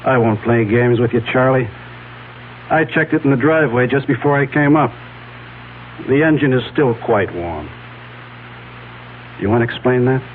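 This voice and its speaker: Male actor as composed, shrewd investigator for radio drama; medium-pitched, measured, dryly probing, muffled broadcast sound. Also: lightly acted. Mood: probing, firm. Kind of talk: 1970s radio drama acting. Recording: dull lo-fi radio recording, faint noise.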